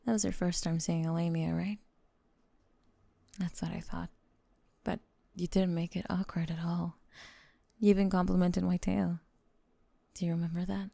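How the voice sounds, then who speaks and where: Breathy, whispering possessive lamia girl, acted by a woman. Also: fairly low pitch, dark tone, dry recording. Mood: fond, wistful. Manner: slow, tender, coaxing. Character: possessive, lovestruck young female lamia roommate, sweetly menacing. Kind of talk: ASMR roleplay, intimate whispering.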